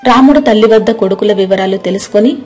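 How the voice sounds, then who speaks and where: A woman's voice: medium pitch, fast talker, darkish tone, slightly rough, faint music behind. A woman narrating a scripted story.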